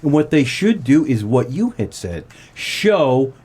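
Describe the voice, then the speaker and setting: A man's voice: mid-range voice, big pitch swings, unhurried, a little mellow, slightly rough. A man hosting a radio show in a conversational, unscripted way.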